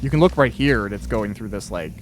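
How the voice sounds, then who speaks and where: A man's voice: on the low side, animated, quick pace, a little hiss or hum. A man interviewing in an easygoing, casual way while playing a video game. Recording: music in the background.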